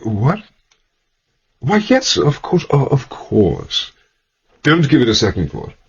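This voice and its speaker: An actor playing a courteous, insinuating gentleman villain in an audio drama, speaking with formal, suave politeness. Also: mid-range voice, wildly swooping pitch, slow pace, breathy edge, dull audio, heavily acted. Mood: suavely polite. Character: smooth-mannered male villain, courteous, insinuating gentleman host.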